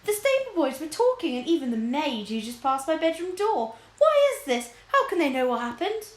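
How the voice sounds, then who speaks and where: A woman's voice: high-pitched, very animated, normal speed, pitched above the performer's own voice. A woman voicing a frightened, whimpering storybook king with an anxious, hesitant manner, in an echoey room. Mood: fretful, alarmed. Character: frightened, gullible, whimpering king, easily flattered.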